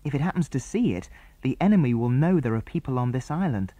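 A man's voice: medium pitch, animated, fast talker, warm tone, no room sound, lightly acted. A man reading aloud and voicing a sensible, anxious young girl.

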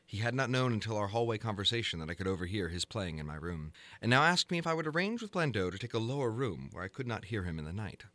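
A man narrating a gothic horror story in a formal, measured, theatrical manner, as in a dramatic audiobook. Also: lowish voice, sing-song, sharp tone, dry recording.

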